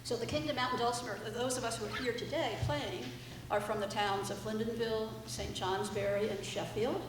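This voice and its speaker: A woman's voice: on the high side, steady tone, a little fast. A woman speaking informally to a live audience as a musician introducing her group's songs. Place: indoor library art gallery, live audience. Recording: echoey room, distant mic, faint noise.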